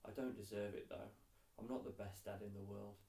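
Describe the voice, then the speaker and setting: Man reading as a subdued, creaky-voiced, downcast dad. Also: lowish voice, fairly even intonation, brisk, warm tone. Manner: subdued, hesitant. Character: downcast, withdrawn father, drinking alone on his birthday. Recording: roomy echo, distant mic.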